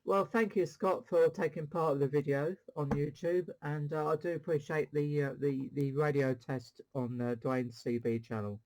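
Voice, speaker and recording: A man's voice: a little high, steady tone, normal speed, hardly any pauses, darkish tone, poor audio. A man hosting a casual CB radio demonstration, rambling along and repeating his phrases. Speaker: CB radio channel host.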